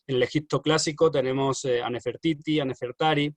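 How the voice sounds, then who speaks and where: Man lecturing online, halting with fillers, dry close sound. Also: medium pitch, steady tone, dry recording. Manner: hesitant, many fillers and restarts. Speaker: university lecturer.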